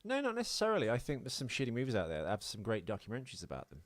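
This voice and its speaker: A man hosting a casual film podcast, chatting in an animated, teasing, mock-incredulous way with his co-host. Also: medium pitch, very fast, no echo. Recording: music playing behind the talk. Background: orchestral film score.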